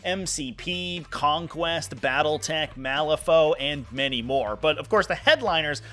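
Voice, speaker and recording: A young man with a hoarse voice from a cold, hosting and commenting on tabletop game tournaments in an upbeat, chatty way. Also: fairly high pitch, a little slow, fairly bright tone. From New England, US. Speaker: host.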